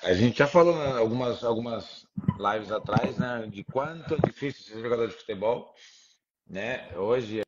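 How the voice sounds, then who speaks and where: A middle-aged man, a former footballer, talking in a relaxed, easy way on a video call and dropping many little filler tags. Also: medium pitch, moderate pace, heavy background noise. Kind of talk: casual video-call chat. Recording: dull lo-fi video-call audio.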